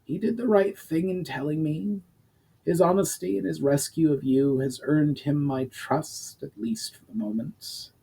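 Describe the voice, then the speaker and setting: A man voicing a fatherly fantasy duke in a storybook reading, firm, formal and concerned, with measured gravity. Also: a little high, creaky, dark tone, breathy edge, pitched above the performer's own voice, heavily acted, poor audio.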